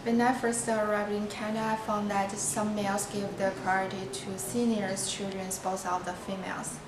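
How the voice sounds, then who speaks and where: Flat-voiced woman, far and echoey. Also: mid-range voice, normal speed. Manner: flat, recited statements. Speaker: international student. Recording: echoey room from far off, noisy.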